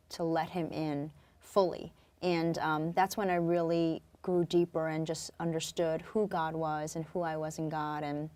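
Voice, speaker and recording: A young woman born in Korea and raised on Long Island, a singer-songwriter talking reflectively as an interview guest, with many pauses and fillers. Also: low-pitched, a little mellow. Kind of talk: casual interview talk.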